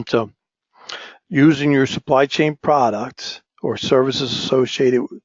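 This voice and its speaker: Hoarse male lecturer with a cold. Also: medium pitch, takes it slowly, no echo. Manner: frequent restarts, asks "right?" often. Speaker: instructor. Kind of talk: recorded online lecture. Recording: dull, dry lo-fi recording.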